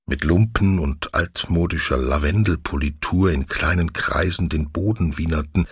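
Low, husky male audiobook narrator. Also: no echo, studio quality.

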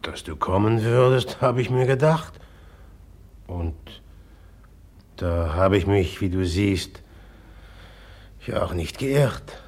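An actor in a German radio play, playing a brooding, volatile man who speaks haltingly and guardedly, repeating his words. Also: lowish voice, expressive, darkish tone. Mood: guarded, irritable.

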